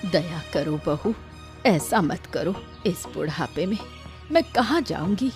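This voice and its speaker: A woman plays a long-suffering elderly mother in a story drama. Her voice sits lowish and swings expressively as she pleads humbly, with clear music underneath. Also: lively intonation, slow pace, a little mellow. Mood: hurt, pleading. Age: older. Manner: pleading, self-effacing. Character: meek, long-suffering old mother-in-law, devoted to her son. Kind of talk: Hindi audio story acting.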